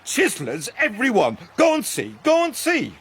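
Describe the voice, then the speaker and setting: An actor playing a passionate, persuasive Irish gentleman with an Irish accent, declaiming rhetorically in a radio drama. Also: extremely high pitch, huge pitch swings, moderate pace, breathy edge, exaggerated voice. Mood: urging.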